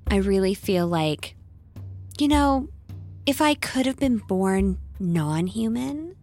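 A woman's voice: medium pitch, animated, darkish tone, faint music behind. An actress playing an earthy, breezy homemaker mother in a mock reality-show interview, airy and confiding. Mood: dreamy. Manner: airy, drawn-out, giggly confiding.

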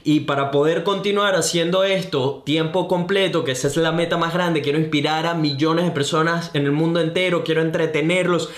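A young Venezuelan man with a Venezuelan accent, hosting a podcast in an energetic, enthusiastic, slangy way. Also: a little high, brisk, few pauses. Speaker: podcast host. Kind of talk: upbeat podcast intro and interview. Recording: roomy echo.

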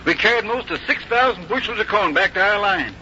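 An actor playing a brash, proud rank-and-file soldier, folksy and excitable, in a 1950s radio drama. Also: male voice, high voice, a little fast, full character voice. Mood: proud, excited. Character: brash, proud, rough-and-ready Virginian soldier. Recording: muffled old radio broadcast, faint noise.

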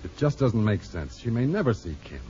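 An American man playing a mild, practical, cautious husband, speaking in a measured way in 1950s radio drama style. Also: medium pitch, very animated, warm tone, lightly acted. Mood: puzzled, doubtful. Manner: measured, reasoning. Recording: muffled, noisy old radio broadcast.

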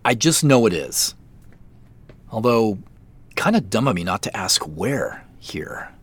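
An actor in an audio drama playing a furtive, awkward office worker, with halting, casual delivery. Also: male voice, on the low side, big pitch swings, husky, full character voice. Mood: furtive, musing. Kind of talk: naturalistic audio drama acting.

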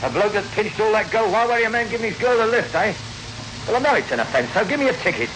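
Hard-bitten truck driver on the run, gruff slang, defiant, old radio drama, heavy noise. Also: male voice, sits high, lively intonation, fast. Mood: bluffing, cheeky. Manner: slangy, gruff, narrating and arguing. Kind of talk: 1960s radio suspense drama acting. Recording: very noisy old radio recording.

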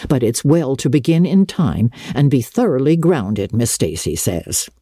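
A woman voicing a stern, practical older female guardian, brisk and no-nonsense, in a dramatic storybook reading. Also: extremely low pitch, sing-song, mellow, husky, no room sound, clean studio sound, full character voice. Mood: measured, cautioning. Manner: brisk, matter-of-fact, no-nonsense. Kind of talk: dramatic audiobook reading of a classic novel.

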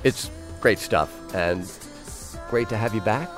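A man hosting a music interview on the radio, speaking conversationally with halting, repeated phrasing. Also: on the low side. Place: radio studio.

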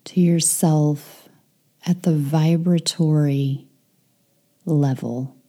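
A woman leading a guided meditation, speaking softly and soothingly, with some phrases whispered. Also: low-pitched, fairly even intonation, very slow.

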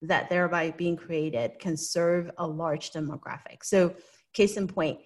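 A woman's voice: on the low side, fairly even intonation, unhurried, darkish tone. Hong Kong-accented woman, earnest and explanatory. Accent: Hong Kong English. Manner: earnest, explanatory, often adds a tag "right?". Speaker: investor, interview guest. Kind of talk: conversational interview answers.

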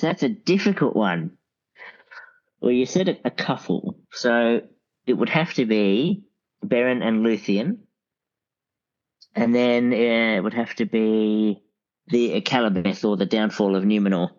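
A man's voice: fairly low pitch, unhurried, pauses often, a little mellow, lo-fi sound. A man speaking as a guest in a casual interview, hesitant and mild, over call audio. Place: remote call.

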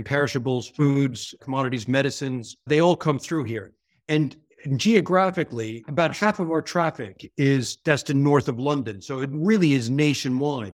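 A man with a New York area American accent, answering interview questions in a fluent, explanatory, businesslike way. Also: mid-range voice. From New York area, USA. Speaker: port executive.

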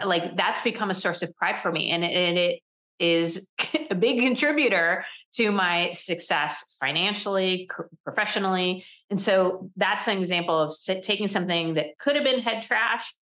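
A woman with a voice on the low side speaks candidly as a podcast guest. She is middle-aged, animated and laughs easily. She often restarts her sentences with repeated words. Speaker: podcast guest, leadership coach. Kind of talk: conversational interview.

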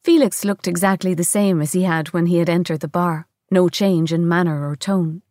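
A woman's voice: on the low side, fairly even intonation, normal speed, a little mellow, no room sound, clean studio sound. A woman reading literary fiction aloud as scripted narration.

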